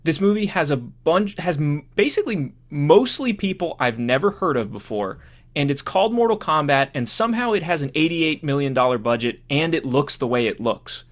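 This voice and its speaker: A man co-hosting a casual movie discussion podcast, talking informally and thinking out loud in a halting way. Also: a little high, lively intonation. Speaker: podcast co-host.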